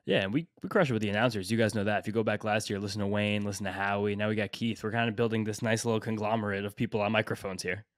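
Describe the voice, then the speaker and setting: A man's voice: fairly low pitch, fairly even intonation, rapid-fire, hardly any pauses, no room sound, clean studio sound. A man co-hosting a casual sports podcast in an informal way. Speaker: podcast co-host.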